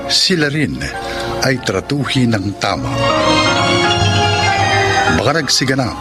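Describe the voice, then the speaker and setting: Male announcer, Filipino accent, slow measured delivery, scripted radio credits, solemn, loud music behind. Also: mid-range voice, big pitch swings. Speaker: drama program announcer.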